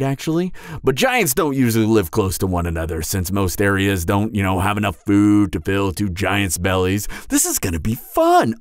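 A man plays a clumsy, kindhearted giant in an audio roleplay. His pitch swoops hugely as he rambles, hesitates and apologizes. The sound is dry and close, with no room around it. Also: no echo. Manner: flustered, hesitant, rambling, animated. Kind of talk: roleplay audio drama monologue.

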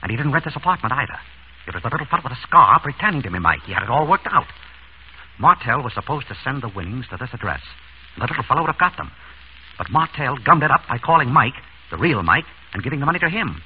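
An actor playing an urbane, wry surgeon turned amateur sleuth in an old-time radio drama. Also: male voice, mid-range voice, very animated, fast, husky. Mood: intent. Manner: urbane, wry, composed under threat. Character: suave, quick-witted male surgeon turned amateur sleuth. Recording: tinny, noisy vintage radio recording.

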